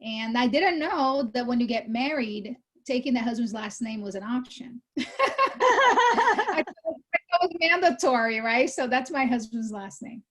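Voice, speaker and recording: A woman in her thirties, born in Brazil, talks through her story as an interview guest. Her voice sits fairly high, and she speaks in an animated, conversational way. She often stops to correct herself mid-sentence. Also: unhurried. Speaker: guest, real estate CEO. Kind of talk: casual interview chat.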